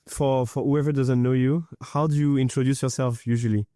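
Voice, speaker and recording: A man hosting a podcast, with a mellow, warm tone and an average pitch. He asks open, friendly questions and shows appreciation for his guest. The recording is close and dry. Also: fairly even intonation, a little fast, no room sound. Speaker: podcast host. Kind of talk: casual interview hosting.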